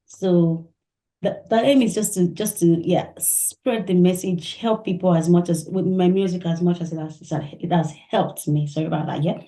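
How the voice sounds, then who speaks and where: Soft, low Nigerian-born woman musician. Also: moderate pace. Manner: soft-spoken, thoughtful, laughs often. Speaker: musician guest. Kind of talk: interview answers. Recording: echoey room.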